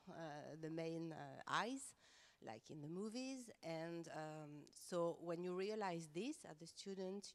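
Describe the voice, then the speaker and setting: French woman on a panel, low voice, passionate, lots of ums. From France. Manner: earnest and passionate, many hesitations. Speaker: professor-researcher on a panel. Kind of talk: round-table panel talk. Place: conference room.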